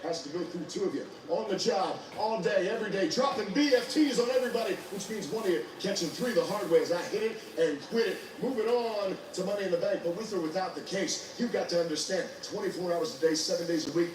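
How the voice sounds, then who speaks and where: A high-voiced man cutting a boastful, declamatory wrestling promo. He sounds distant and very echoey, like a TV heard from across a room, with music playing clearly behind him. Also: fast, few pauses, a little hiss or hum.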